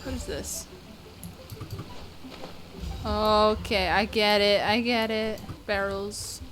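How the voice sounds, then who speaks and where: A woman's voice: mid-range voice, fairly even intonation, slow, a bit bright, a little hiss or hum. A woman giving casual gameplay commentary, talking to herself in short, offhand reactions. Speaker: video game streamer.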